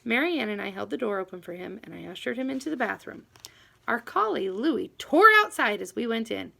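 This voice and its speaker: A woman reading a children's novel aloud in an expressive, animated first-person storytelling manner. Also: fairly high pitch, a little fast, creaky. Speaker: audiobook reader.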